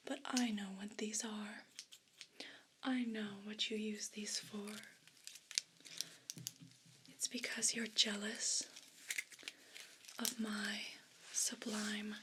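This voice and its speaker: A woman voicing a vain, pompous evil house cat in a breathy whisper for an ASMR role play. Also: mid-range voice, a bit bright, a little hiss or hum. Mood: menacing, haughty. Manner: pompous, deliberate, formal wording.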